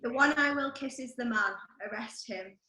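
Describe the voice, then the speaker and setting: A woman's voice: a little high, moderate pace, sharp tone. A woman playing a sly male disciple turned betrayer, reading short lines in a dramatised Bible reading. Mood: guarded. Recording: echoey room.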